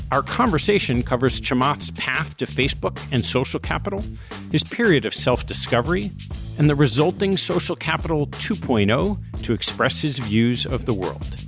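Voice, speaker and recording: A man hosting an investing podcast, reading his intro and sponsor messages at an even, deliberate pace. Music runs clearly underneath his voice. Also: mid-range voice. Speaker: podcast host. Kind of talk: scripted intro and ad reads, then interview questions.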